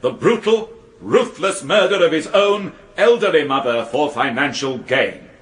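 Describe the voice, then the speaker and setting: An actor playing a stern English prosecution barrister, speaking with formal, emphatic courtroom rhetoric in a radio drama. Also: male voice, a little high, expressive, unhurried, fairly bright tone. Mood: severe, condemning. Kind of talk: radio crime drama acting.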